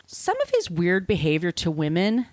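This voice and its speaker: A woman's voice: lowish voice, huge pitch swings, normal speed, darkish tone, no echo, studio quality. An American woman hosting a podcast, telling a story from notes conversationally, with informal, wry asides. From United States. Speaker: podcast host.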